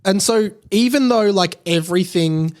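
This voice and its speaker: Male podcast host, slightly high-pitched, conversational and rambling monologue style. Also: normal speed. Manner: rambling, conversational, often tags points with "right?". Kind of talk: casual solo podcast monologue.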